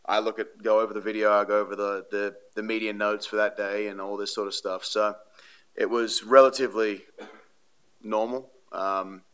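A man's voice: on the low side, level tone, moderate pace, fairly bright tone, clear, resonant tone. A man, answering reporters' questions at a podium in a press room, rambling and earnest with many fillers and restarts. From Australia. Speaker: baseball pitcher at a postgame press conference. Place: press room before a sponsor backdrop. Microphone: podium microphones.

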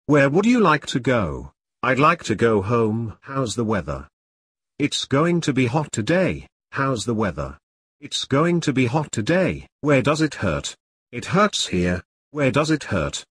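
A man slowly reading short English practice questions and answers aloud, each one twice, in a measured, deliberate way. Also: mid-range voice, fairly bright tone, breathy edge, no echo, studio quality. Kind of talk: slow scripted drill reading.

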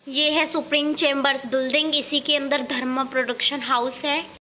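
High-voiced young woman updating viewers. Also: little pitch movement, normal speed. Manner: explaining, addressing viewers directly. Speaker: vlogger. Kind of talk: casual vlog updates to viewers. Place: outdoors by city office buildings. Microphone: handheld phone camera. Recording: outdoor street noise.

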